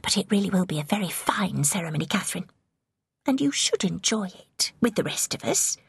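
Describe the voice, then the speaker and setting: A woman's voice: lowish voice, sing-song, vocal fry, breathy edge, exaggerated voice. A woman voicing a young English princess, girlish, vivacious and impulsive, in an audiobook. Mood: coaxing. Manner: gushing, emphatic, rapid changes of feeling.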